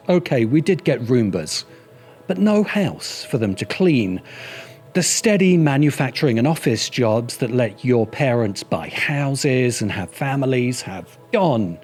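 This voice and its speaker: A man reading scripted video essay narration in a measured, emphatic and wry manner. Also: medium pitch, a little mellow. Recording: music bed under the voice. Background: background music.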